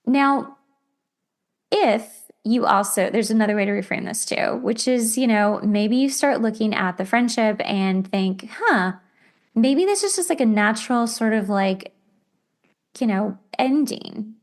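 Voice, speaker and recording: Higher-pitched woman, casual self-help podcaster. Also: unhurried. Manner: conversational, acts out imagined dialogue as examples. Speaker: podcast host.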